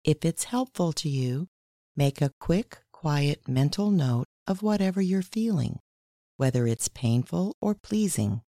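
A woman hosting and leading a guided meditation in a measured, instructional way, reading scripted narration. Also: low voice, animated, dark tone, dry recording, clean studio sound. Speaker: meditation guide and podcast host.

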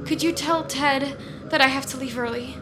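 A woman's voice: sits high, fairly even intonation, normal speed, close to an everyday voice. A woman acting in audio fiction as an anxious, guilt-ridden, obsessive office worker who rambles hesitantly and apologetically. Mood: tearful, self-loathing. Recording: music bed under the voice.

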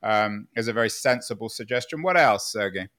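A man's voice: fairly low pitch, lively intonation, a little fast, fairly bright tone. A man hosting an interview, asking probing questions and pushing back on the answers.

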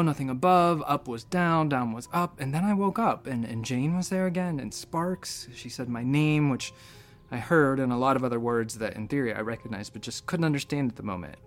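A man's voice: mid-range voice, close to an everyday voice. A man playing a wry, rambling space doctor who narrates an audio drama in a conversational, hesitant way with dry humor. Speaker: narrator and lead character.

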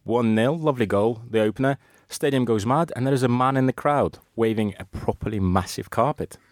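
A man giving football analysis on a podcast, measured and a little hesitant. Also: medium pitch, no room sound, studio quality. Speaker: football pundit on Russian football.

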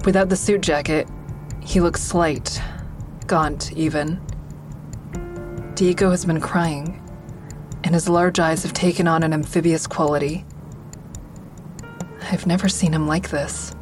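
A woman's voice: sits low, fairly even intonation, measured pace, pauses often, over music. An actress playing a tense, watchful woman who narrates her own troubled story in an audio drama. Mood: somber, uneasy. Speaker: narrator and lead actor in a fiction podcast. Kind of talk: first-person dramatic narration.

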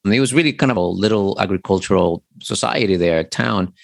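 A man's voice: lowish voice, fairly quick, vocal fry, no echo, clean studio sound. A man in his forties from the Dominican Republic, a lecturer telling his life story as a podcast guest, reflective, with fillers. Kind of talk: podcast interview.

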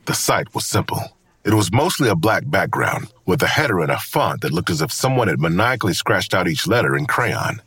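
A man narrating a scripted crime thriller audio drama in a grave, husky voice. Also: low-pitched, sing-song, brisk, a bit bright, no room sound, full character voice. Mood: measured, grave.

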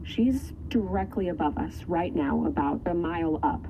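A woman playing a brisk, sardonic separatist leader in an audio drama, curt and commanding. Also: fairly low pitch, mellow, muffled recording, slight background noise, lightly acted. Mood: businesslike. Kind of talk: scripted audio drama acting.

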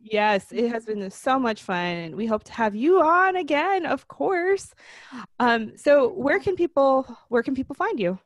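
A woman's voice: high voice, sing-song, unhurried, no echo. Upbeat woman hosting a podcast. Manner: upbeat, leads trivia quiz. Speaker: podcast host. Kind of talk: casual podcast chat.

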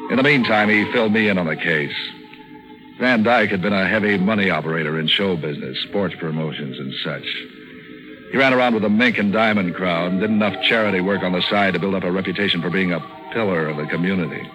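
Man acting a low-voiced, cynical hard-boiled American detective. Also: fast, over music, lightly acted, poor audio. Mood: dry, brooding. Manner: sardonic, deadpan wisecracks. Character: wisecracking, cynical hard-boiled male hired detective narrating his case. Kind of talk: 1940s radio noir acting, first-person narration. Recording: old 1940s radio recording.

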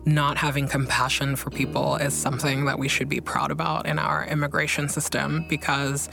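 A woman's voice: low voice, little pitch movement, normal speed, vocal fry. Woman as interview guest, candid and informal, leaning on repetition for emphasis, music playing underneath. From Guinea and Belgium. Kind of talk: candid casual conversation.

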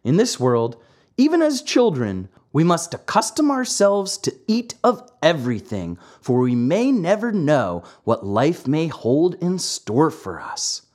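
Narrator playing kindly old man, fatherly, patient, calm, gently moralizing, storybook character, expressive intonation. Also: medium pitch, unhurried, pitched above the performer's own voice. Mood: gently scolding. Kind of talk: children's storybook character acting.

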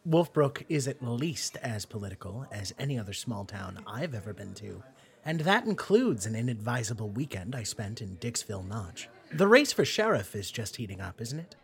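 A man voicing an articulate adjunct professor with a dry, measured manner, in an audio drama. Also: medium pitch, sing-song, vocal fry. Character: wry, genteel adjunct professor.

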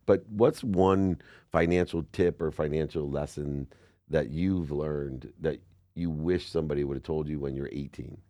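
A man's voice: very deep voice, warm tone, dry recording, clean studio sound. A man hosting a casual group conversation, tossing in jokes and asking the guests questions. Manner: joking interjections, hypes up guests. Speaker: podcast host.

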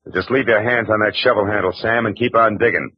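An actor playing a wry, hard-boiled private eye who narrates dryly in 1940s radio acting style. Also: male voice, on the low side, steady tone, quick pace, slightly rough, no room sound. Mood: taunting. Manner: dry, wisecracking first-person narration. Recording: dull old radio recording.

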